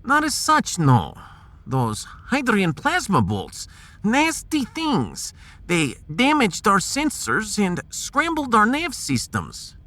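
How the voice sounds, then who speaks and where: A man's voice: sits high, wildly swooping pitch, pushed and bright, pitched above the performer's own voice, full character voice. A man voicing a fussy, gloomy Russian science officer in a sci-fi audio drama, with a Russian accent and pedantic manner. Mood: explaining. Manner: pedantic, tag questions, drawn-out phrasing.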